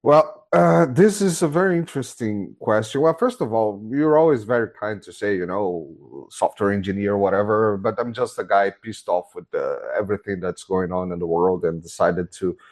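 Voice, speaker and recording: A man's voice: on the low side, normal speed, hardly any pauses, a little mellow. A man from Brazil with a Brazilian accent, a guest in a casual video call interview, speaking bluntly and exasperatedly with many restarts. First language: Portuguese. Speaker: guest commentator. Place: home, hot room.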